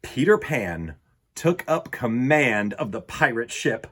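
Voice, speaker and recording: A man hosting a children's storytime, reading and talking in a theatrical, expressive way with dramatic pauses. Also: medium pitch. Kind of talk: animated storybook narration for children.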